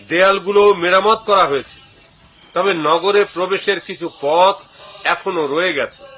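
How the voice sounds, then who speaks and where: A man's voice: a little high, a bit bright, poor audio. A man voicing a resolute, devout sultan in a dubbed cartoon, addressing his army in formal, declamatory oratory. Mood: determined. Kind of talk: dubbed cartoon speech to troops.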